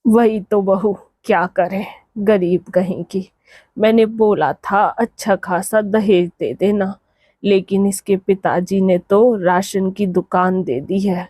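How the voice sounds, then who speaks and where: Woman playing older mother-in-law, cartoon drama, scolding, status-minded, mellow tone, very dry recording. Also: medium pitch, fairly even intonation, takes it slowly, no echo. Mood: scornful. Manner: reproachful, scolding. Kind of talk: Hindi cartoon moral-story dialogue.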